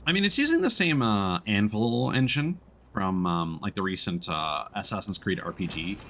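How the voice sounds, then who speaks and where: Low-voiced man, lively game streamer. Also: normal speed. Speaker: streamer playing a video game. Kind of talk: casual let's-play commentary.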